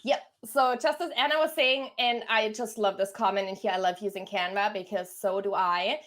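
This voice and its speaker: A woman's voice: mid-range voice, normal speed, a bit bright. An enthusiastic, effusive woman from Germany, talking conversationally as a career coach hosting a live online workshop.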